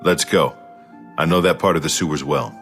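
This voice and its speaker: A seasoned undercover warrior in a fantasy game. His voice is very low and gruff, delivered with firm resolve over faint music. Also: fairly even intonation, normal speed, fairly bright tone. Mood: determined. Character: gruff, resolute male imperial secret agent, seasoned warrior. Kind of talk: video game dialogue acting.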